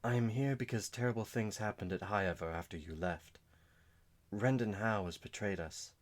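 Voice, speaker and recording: A man voicing a young noblewoman warden who stays composed through grief, speaking quietly and with restraint. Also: lowish voice, normal speed, natural voice. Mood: grieving, steady.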